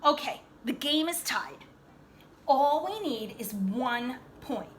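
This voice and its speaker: A woman's voice: high voice, lively intonation, slow pace, slight background noise. A woman voicing a supportive older brother and teammate from a storybook, rallying and emphatic.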